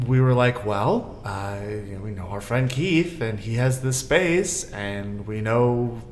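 Man thinking aloud in an echoey room. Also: medium pitch. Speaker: guest co-host on a theater podcast. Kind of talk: casual panel chat. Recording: roomy echo, faint background noise.

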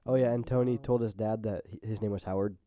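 A man's voice: fairly low pitch, fairly even intonation, fast, dark tone. A man co-hosting a podcast, chatting casually with his friends. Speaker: podcast co-host. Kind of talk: casual chat.

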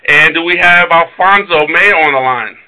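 A man with a very bright, forceful voice hosts a conference call. His pitch sits a little high for a man, and he speaks haltingly, with many filler sounds between phrases. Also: low-quality recording. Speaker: call host.

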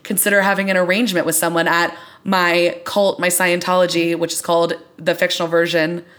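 A woman with a slightly low voice hosts a casual TV discussion podcast. She talks with open enthusiasm and leans hard on the words she cares about. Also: little pitch movement, a little fast, a bit bright. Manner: enthusiastic, emphatic. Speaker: podcast host.

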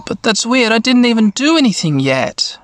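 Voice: mid-range voice, very animated, normal speed, fairly bright tone, slight background noise, voice pushed up. A performer voicing a small, earnest and protective monster companion from a game. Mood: puzzled.

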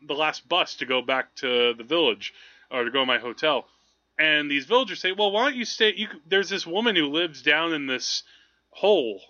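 A man hosting a film podcast, chatting casually in a rambling, halting way as he thinks aloud. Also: on the high side, sharp tone, muffled recording. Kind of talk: casual movie discussion.

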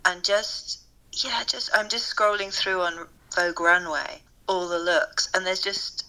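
A soft-spoken, middle-aged woman, a fashion lecturer, chatting enthusiastically with a strained, tired voice. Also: on the low side, fairly even intonation, measured pace, low-quality recording. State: voice strained from teaching. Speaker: fashion lecturer and podcast co-host. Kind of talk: casual conversation.

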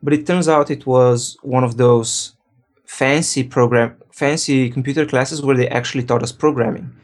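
A man from Slovenia, a programmer, answering interview questions in a relaxed way, thinking aloud and correcting himself. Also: medium pitch, normal speed. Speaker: programmer and guest.

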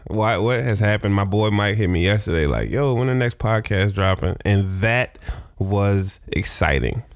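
A man co-hosting a podcast, talking quickly, teasing, laughing and cursing freely. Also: fairly low pitch, expressive, no room sound, clean studio sound. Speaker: podcast co-host. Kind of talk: casual podcast banter.

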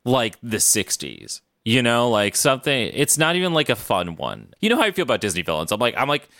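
Male podcast co-host, crisp tone, very lively pitch movement, opinionated, informal and sweary. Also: brisk, no echo, clean studio sound. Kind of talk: casual fan podcast chat.

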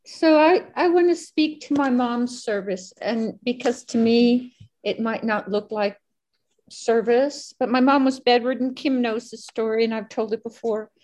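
A woman shares a personal story in a group discussion. Her voice sits a little high with a mellow, warm tone. She speaks earnestly and leans on key words by slowing down. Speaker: member of a meditation group.

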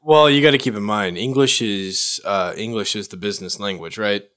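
Lowish-voiced American man explaining things casually. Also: moderate pace, fairly bright tone. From Chicago area. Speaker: podcast co-host.

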